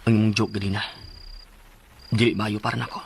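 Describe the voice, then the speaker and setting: A man's voice: lowish voice, little pitch movement, fairly quick, gravelly, a little hiss or hum. An actor with a Cebuano Filipino accent playing an earnest, wounded father in an emotional radio drama. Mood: serious. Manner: emotional, pleading. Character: earnest, wounded family man and devoted father. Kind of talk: radio drama acting and first-person narration.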